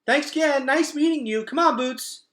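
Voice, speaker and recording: A man's voice: extremely high pitch, moderate pace, a bit bright, reverberant, voice pushed up. A man voicing an anxious but polite, stubborn boy who blurts things out in a storybook reading. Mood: nervously polite. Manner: blurting, exasperated, muttering asides.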